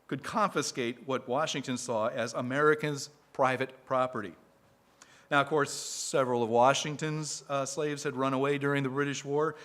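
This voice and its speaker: A man reading a prepared talk to a live audience as a speaker for a historic site, measured and steady. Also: mid-range voice.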